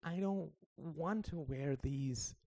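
Man acting a whiny, petulant young pop singer, high-pitched. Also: big pitch swings, a little slow, dry recording. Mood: sulky, protesting. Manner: whiny, protesting.

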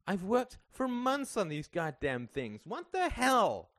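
A man's voice: mid-range voice, very animated, slow pace, a bit bright, no echo, studio quality, pitched above the performer's own voice. A man voicing an exasperated, scornful mother who scolds and pleads in a wounded way. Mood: wounded, indignant. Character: exasperated, scornful mother fussing over stage costumes.

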